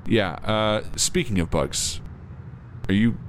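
Skeptical but kind police officer, low voice, chatty audio drama, car rumbling loud behind. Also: male voice, takes it slowly. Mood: calm, curious. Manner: wry, reassuring, conversational. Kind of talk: naturalistic audio drama dialogue. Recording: clear car and road noise. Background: moving car ambience.

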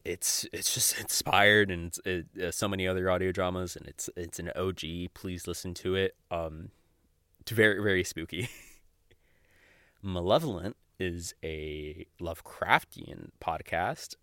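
A young adult man hosting a podcast solo, chatting casually and off the cuff in a giggly, rambling, sweary way with halting false starts. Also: low-pitched, fairly bright tone, no echo, studio quality. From Mexico or of Mexican family. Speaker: podcast host. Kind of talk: casual unscripted podcast monologue.

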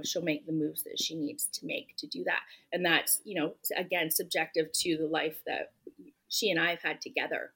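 A woman's voice: fairly low pitch, fairly bright tone, slightly rough, low-quality recording. A woman author being interviewed, speaking earnestly and emphatically, often saying "you know". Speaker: guest author. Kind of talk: podcast interview answers.

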